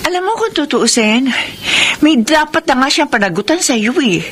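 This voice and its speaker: A woman's voice: high-pitched, sing-song, normal speed, a little hiss or hum. An actress playing an indignant, protective young female confidante in a Filipino radio drama, emphatic and scolding.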